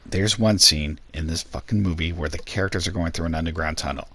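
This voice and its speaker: A man's voice: deep voice, fairly quick, a bit bright, slightly rough. A man hosting a scripted review video, giving opinionated, sweary and sarcastic commentary.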